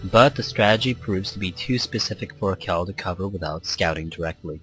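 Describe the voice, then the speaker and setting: A man with a fairly low voice reads a visual novel game aloud as a let's play host. His storytelling is playful and a little theatrical, and music runs underneath. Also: fairly quick, fairly bright tone, rough recording. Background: background music.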